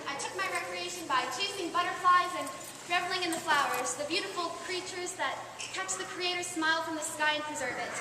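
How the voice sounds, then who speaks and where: A woman on stage playing a curious, talkative, earnest young Eve, declaiming her musings in a theatrical way. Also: very high voice. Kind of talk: stage play monologue, theatrical delivery. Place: amateur stage performance in a large hall. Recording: echoey hall from far off, noisy.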